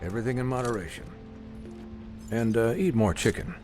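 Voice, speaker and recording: A man voicing a matter-of-fact physician in a video game, measured, dry and clinical. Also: medium pitch, over music, lightly acted. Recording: faint score under the voice.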